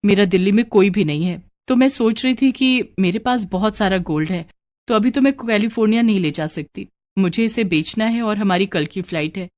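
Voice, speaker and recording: A woman's voice: mid-range voice, a little fast, a little mellow, close to an everyday voice. A woman voicing a chatty, scheming young married friend in a cartoon moral story.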